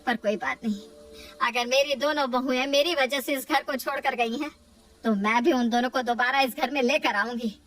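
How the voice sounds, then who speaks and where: A woman's voice: high voice, steady tone, normal speed, a bit bright, distant mic, poor audio. A woman voicing a proud older mother-in-law who scolds her family and later admits she is worn out. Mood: weary, remorseful.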